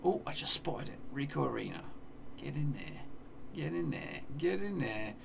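Gravelly English man muttering game commentary. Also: medium pitch, big pitch swings, normal speed, far from the mic, noisy background. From England. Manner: mutters place names to himself, swears playfully. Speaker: gaming streamer. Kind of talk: casual live game commentary. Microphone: desk mic, seen in webcam corner.

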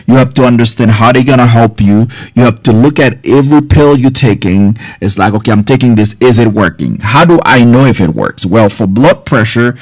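A man's voice: medium pitch, brisk, hardly any pauses, darkish tone, no echo. A man in his forties from Haiti, a health care provider giving casual, direct advice to viewers on a live stream from a small office. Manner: earnest, direct, advising viewers, loose filler words. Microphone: studio mic on boom arm, front camera close-up.

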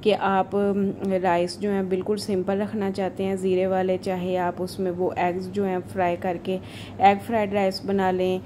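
A woman home cook talks through a recipe in a casual, chatty way. Her voice is soft-edged and mellow at a medium pitch, and it stays fairly flat. There is noticeable noise behind the voice-over. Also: moderate pace. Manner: informal, chatty, homely asides. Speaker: home cook, cooking channel host. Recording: voice-over with clear background noise.

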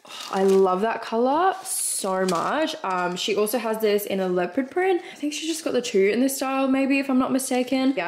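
Gushing young Australian woman vlogger, noisy background. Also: medium pitch. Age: 20s. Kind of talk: casual vlog chat, unboxing.

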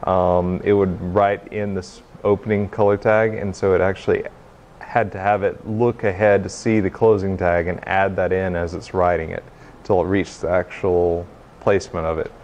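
A young man giving an informal tech demo talk in a hesitant, halting way, recorded in a meeting room. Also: low voice, level tone, a little mellow, dull audio, slight background noise. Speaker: presenter, game developer. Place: indoor hackerspace meeting room, small stage.

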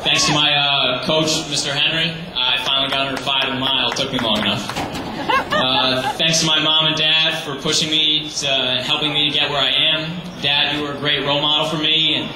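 A teenage boy giving an earnest, slightly halting graduation speech as salutatorian. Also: on the high side, steady tone. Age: teens. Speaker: graduating student. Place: large hall or gym. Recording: echoey hall, far from the mic, noisy.

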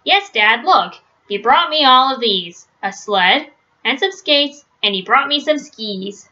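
Eager, well-mannered boy bear, woman reader, picture-book read-aloud; bright, high, slightly lo-fi. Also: animated, slow, exaggerated voice. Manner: keen, polite, questioning. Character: eager, polite young boy bear, gently cheeky. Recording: dull, lo-fi webcam sound.

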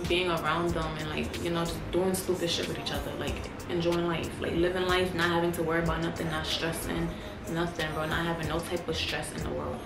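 Rambling New woman, echoey room. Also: on the low side. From Haiti, then Harlem, New York. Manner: loose and rambling, heavy slang and fillers. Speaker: YouTuber. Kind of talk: casual get-to-know-me chat. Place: living room, seated on a sofa. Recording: echoey room with loud music behind.

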